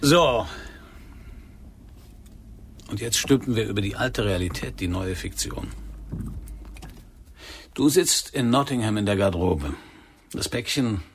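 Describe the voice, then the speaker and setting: A low-voiced, controlled secret agent. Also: male voice, expressive, a little hiss or hum. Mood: businesslike, probing. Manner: measured, insistent, instructing. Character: controlled, commanding male secret agent coaching a cover story. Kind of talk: radio play acting.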